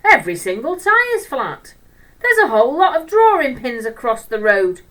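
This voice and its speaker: A woman's voice: high-pitched, big pitch swings, moderate pace, sharp tone, pitched above the performer's own voice, exaggerated voice. Woman doing a blunt, fed-up bus driver. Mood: grim, annoyed. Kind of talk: children's storybook reading.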